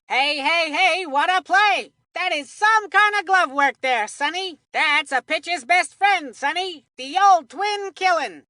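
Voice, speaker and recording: An actor voicing an excitable, folksy cartoon commentator calling kids' baseball with corny, punchy one-liners. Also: very high voice, no room sound, clean studio sound, exaggerated voice. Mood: excited, amazed. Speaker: sports commentator.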